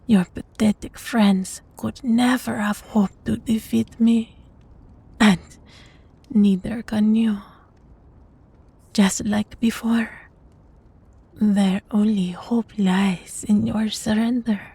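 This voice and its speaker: A very breathy, husky female vampire played by a woman in an intimate audio drama. She speaks slowly, with imperious, possessive menace and a teasing, sadistic edge, often sinking toward a whisper. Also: mid-range voice, fairly even intonation, mellow, full character voice. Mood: smug, menacing. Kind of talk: scripted intimate audio roleplay.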